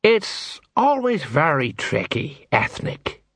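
A man's voice: fairly high pitch, very animated, slow, a bit bright, husky, dry recording, studio quality, voice pushed up, full character voice. A man voicing a nervous, evasive watch sergeant with a hesitant, drawling, miserable manner. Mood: uneasy.

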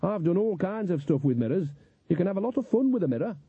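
Man as a cheeky, high-pitched older witch woman. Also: animated, moderate pace, slightly rough. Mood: breezy. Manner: happy, bantering. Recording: echoey, narrow phone-like audio.

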